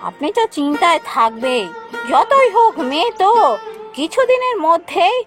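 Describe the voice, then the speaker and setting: Scheming fairytale queen: extremely high, animated delivery, insinuating and emphatic, music underneath. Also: normal speed. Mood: insinuating, coaxing. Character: scheming, jealous younger queen and doting mother. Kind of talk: Bengali fairytale cartoon voice acting.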